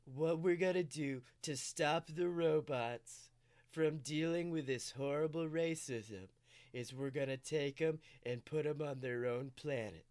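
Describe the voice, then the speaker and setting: A smug, sinister emperor, as put on by a man retelling a tale. He sells his scheme like a salesman, heaping on praise in a clear, smooth voice. The villainy comes wrapped in persuasive patter. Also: a little high, a little slow, darkish tone, natural voice. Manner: salesman-like, repeats gushing praise. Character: smooth-talking sinister male emperor pitching a bigoted scheme.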